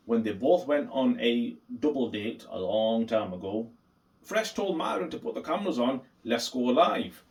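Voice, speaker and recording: Distant-sounding man giving emphatic commentary. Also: medium pitch. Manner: emphatic, deliberate, repeats points for stress. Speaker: reaction video host. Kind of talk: opinion commentary reacting to a clip. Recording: voice distant from the mic.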